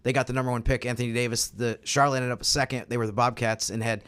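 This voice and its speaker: Mid-pitched man explaining sports casually. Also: flat delivery, quick pace, a bit bright. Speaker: sports podcast panelist.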